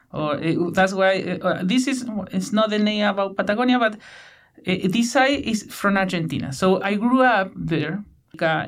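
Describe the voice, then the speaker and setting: A man in his thirties from Chile with a Chilean Spanish accent, answering interview questions in halting English with many fillers and restarts. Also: high-pitched. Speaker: guest, PhD student.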